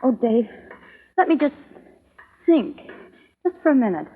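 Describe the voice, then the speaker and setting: A woman's voice: a little high, big pitch swings, a little slow. An actress playing an anxious, guilt-ridden woman in an old-time radio drama, faltering and fearful. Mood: guilty, fearful. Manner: fearful, faltering, breathless pleading. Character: anxious, guilt-ridden female accomplice to murder. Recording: narrow-band old radio recording, faint noise.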